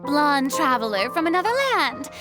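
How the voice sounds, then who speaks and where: A woman voicing a proud yet fragile young goddess in an English game dub, theatrical and halting in delivery. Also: sits high, expressive, music in the background. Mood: haughty. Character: dramatic, proud young female god hiding fragile despair.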